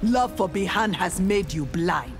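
A defiant, honorable warrior woman from a fighting game. Her voice sits fairly low, and she speaks firmly and with dignity, with faint music behind her. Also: animated, measured pace. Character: defiant, honorable armored female ninja warrior. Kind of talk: scripted video game dialogue.

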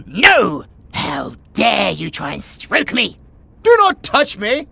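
High, pompous British tiger boy. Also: wildly swooping pitch, slow, creaky, background noise, heavily acted. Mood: bossy, outraged. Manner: pompous, theatrical, mock-archaic outbursts. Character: haughty, bratty young tiger boy, secretly affectionate. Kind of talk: comedic audio roleplay acting.

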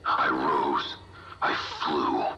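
An actor playing a battle-scarred, stoic, vengeful masked pilot in a video game, speaking in terse, halting phrases with a gravelly growl through a helmet radio filter. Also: male voice, very deep voice, steady tone, noisy background, exaggerated voice. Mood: brooding menace. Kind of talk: video game cutscene acting.

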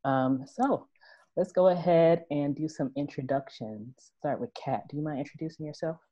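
A woman moderating a panel discussion over a video call, speaking in a relaxed, conversational way with many fillers. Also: low-pitched, moderate pace, no echo, highs cut off.

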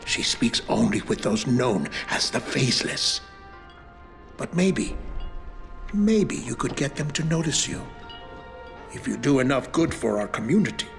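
An actor playing a wary, devout middle-aged village leader in a video game, speaking in a solemn, measured way. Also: male voice, medium pitch, expressive, creaky, soft background music. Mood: guarded.

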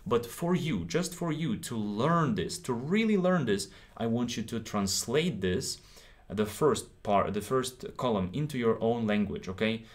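A man's voice: medium pitch, animated, a little slow. A man in his thirties, a native Ukrainian speaker with a Ukrainian accent, teaching English in a live stream from a small room, encouraging and checking in with his viewers often. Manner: encouraging, repeats himself, checks in with "okay?".

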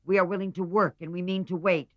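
A man's voice: high-pitched, little pitch movement, fast talker, dry recording, voice pushed up, close to an everyday voice. Man doing an earnest young woman. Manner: earnest, pleading. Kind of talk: audiobook character voice. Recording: slightly muffled dry recording.

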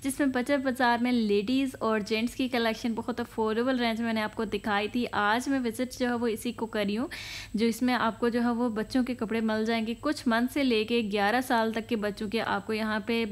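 Woman, slightly high voice, chatty store walkthrough, phone-recorded indoors. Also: fairly even intonation, brisk. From Pakistan. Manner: chatty, repeats filler phrases, lists prices. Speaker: shopping vlogger. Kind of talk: casual walkthrough narration.

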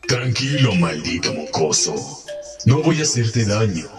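A man's voice: fairly low pitch, big pitch swings, unhurried. A man voicing a menacing ghostly scarecrow villain with a theatrical, threatening delivery in a cartoon dub. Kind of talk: fan-dub cartoon villain acting in Spanish. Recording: loud music behind the voice. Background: loud game music.

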